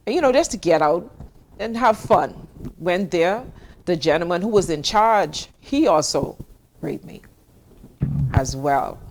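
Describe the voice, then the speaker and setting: A woman's voice: low-pitched, a little hiss or hum. A woman from the Bahamas, telling a personal story as an interview guest.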